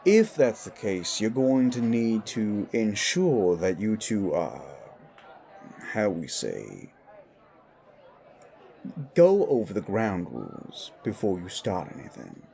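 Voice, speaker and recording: A man's voice: on the low side, wildly swooping pitch, slow pace, gravelly, warm tone, a faint crowd, exaggerated voice. A man voicing an older, patient, experienced werewolf mentor who explains things frankly in an audio drama. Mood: calm, tactful. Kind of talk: scripted audio drama dialogue.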